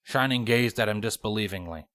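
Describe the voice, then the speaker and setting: A man's voice: on the low side, a little fast, a bit bright. A man reading fan fiction as scripted audiobook narration, in a dramatic storytelling manner.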